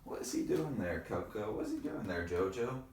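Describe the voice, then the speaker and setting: Guy reading a kids storybook out loud, lowish voice, super expressive, echoey room. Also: normal speed, slight background noise. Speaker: read-aloud storyteller for children. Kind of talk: animated picture-book read-aloud with chatty asides to kids. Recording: roomy, echoing room sound.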